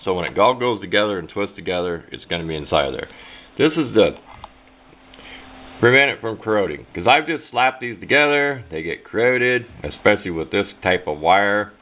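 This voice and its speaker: A man with a low voice talks through a hands-on demonstration like a hobbyist. His delivery is plain-spoken and offhand, wandering as he goes. The sound is tinny and narrow, like a cheap camera microphone. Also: animated, moderate pace. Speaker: hobbyist presenter. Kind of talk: casual hands-on how-to demonstration. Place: indoors at a workbench.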